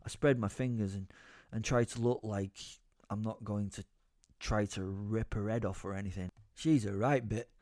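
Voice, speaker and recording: A man's voice: fairly low pitch, fairly even intonation, moderate pace, dark tone, dry recording, clean studio sound, natural voice. A man playing a brooding, exasperated werewolf who tells his own story as theatrical first-person audio drama narration. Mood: bemused. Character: brooding, foul-mouthed male werewolf, seething with helpless rage.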